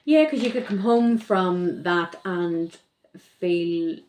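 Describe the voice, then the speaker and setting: A woman's voice: on the low side, slow pace, roomy sound, a little hiss or hum, rough recording. A woman speaking as a podcast guest in casual conversation, rambling and correcting herself as she goes. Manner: rambling, self-correcting, lively.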